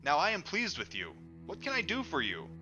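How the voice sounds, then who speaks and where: A man's voice: a little high, wildly swooping pitch, a little fast, sharp tone, pitched above the performer's own voice, heavily acted. A man voicing a friendly, obliging tree spirit in a storybook tale, eager to help. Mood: pleased. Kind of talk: acted audiobook dialogue. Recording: dull lo-fi audio, music underneath.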